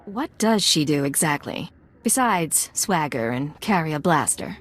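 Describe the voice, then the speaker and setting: Cool, low-pitched secret agent. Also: female voice, expressive, a little slow. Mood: businesslike. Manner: curt, businesslike. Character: cool curt female secret agent posing as pirate. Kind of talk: video game character acting.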